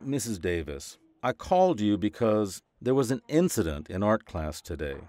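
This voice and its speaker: A man's voice: fairly low pitch, big pitch swings, normal speed, a little mellow, dry recording. An American man playing a courteous, tactful elementary school principal in an audio drama. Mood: courteous, formal.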